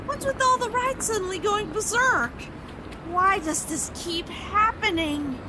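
A very high-pitched excitable park worker. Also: female voice, measured pace, distant mic, background noise. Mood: worried. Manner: excitable, exclamatory. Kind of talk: comic dub voice acting.

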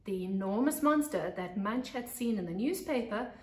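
A South African woman in her thirties reading a children's story with expressive, deliberate emphasis, like a teacher at storytime. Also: mid-range voice. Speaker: pre-primary teacher. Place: living room on a couch by large windows. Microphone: camera a couple of metres away. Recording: echoey room, camera mic.

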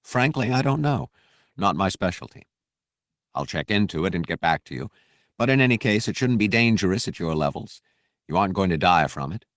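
A man voicing a military doctor in an audiobook, brisk and matter-of-fact, with a plain, reassuring, clinical manner. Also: deep voice, animated, a bit bright, no room sound.

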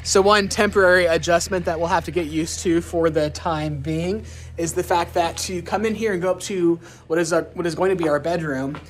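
A man's voice: a little high, steady tone, brisk, soft background music. A man talking casually to camera at an outdoor building site, thinking aloud and asking viewers questions, recorded on a handheld camera mic. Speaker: vlogger renovating a stone cabin. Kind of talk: casual vlog narration to camera.

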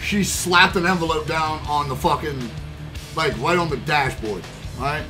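A young man with a slightly high voice rambles through a story, swearing freely and piling up fillers as he goes. He speaks into a headset at his desk. Guitar music plays clearly under his voice. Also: a little slow. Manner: profane, rambling, animated storyteller with many fillers. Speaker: streamer. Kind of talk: casual storytelling while gaming. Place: indoor room at gaming desk. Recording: background guitar music playing.

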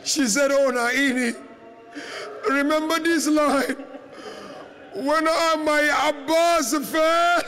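A man's very high-pitched voice delivers a religious mourning sermon. He tells the story very slowly, drawing out his phrases with heavy grief. The delivery is impassioned and tearful, close to breaking. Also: steady tone, crowd noise. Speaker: preacher reciting a religious lament.

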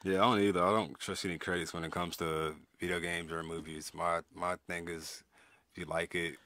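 A man's voice: sits low, flat delivery, fairly quick, sharp tone. A man co-hosting a podcast in a laid-back, agreeable way, chatting casually about a movie. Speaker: podcast co-host. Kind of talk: casual movie review chat.

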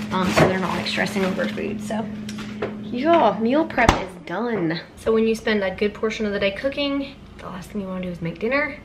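High-voiced woman chatting in a home vlog. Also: very noisy. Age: 30s. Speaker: vlogger and mother. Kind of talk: casual vlog talk to camera. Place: home kitchen and outdoor back porch. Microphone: camera at arm's length.

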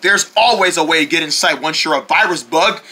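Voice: medium pitch, brisk, bright, forceful tone, voice pushed down. A performer voicing a sly, defiant bug virus creature in a homemade toy play, talking in a cocky, taunting way.

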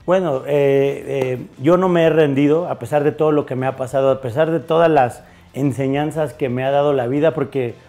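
A man in his forties from Mexico, a chef answering interview questions in a bar, halting with many fillers and restarts, recorded on a lapel mic. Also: mid-range voice, fairly even intonation, dark tone, soft background music. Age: 40s. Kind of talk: interview conversation. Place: bar with bottle shelves.

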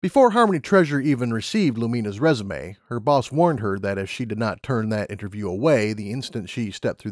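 Animated male audiobook narrator. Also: medium pitch, no room sound, studio quality. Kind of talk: scripted story narration.

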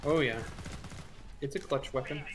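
A man's voice: a little high, moderate pace. A man co-hosting a let's-play, talking in a laid-back, wry way. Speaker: gaming channel co-host. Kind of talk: casual let's-play chat. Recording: game music loud behind voice.

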